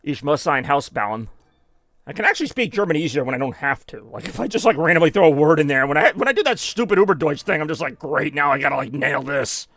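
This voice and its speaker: Bright-voiced man streaming a game. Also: a little high, very animated, fast talker, dry recording. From United States. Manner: rambling, self-mocking, drops in German phrases. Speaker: gaming streamer. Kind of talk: live gameplay commentary.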